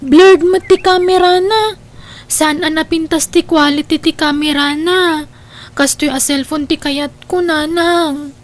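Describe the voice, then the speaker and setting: A woman as a high-pitched, girlish daughter. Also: steady tone, a little slow. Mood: coaxing. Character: young daughter, gossipy, coaxing and girlish. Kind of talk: audio drama acting.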